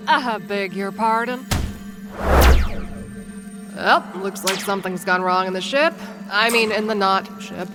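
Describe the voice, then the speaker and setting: A woman narrates a comedic audio drama in the first person. She rambles and stumbles through fillers and drops wry asides to the listeners, with music playing clearly beneath her. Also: mid-range voice, unhurried, pauses often. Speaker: narrator and lead character.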